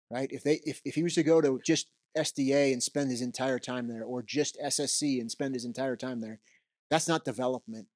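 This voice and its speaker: A man's voice: medium pitch, darkish tone, no echo, studio quality. Roaming middle-aged man at a handheld mic. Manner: conversational, roams the stage, frequent "right?" tags. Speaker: keynote speaker. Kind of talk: unscripted keynote talk. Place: conference hall with audience. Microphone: handheld microphone.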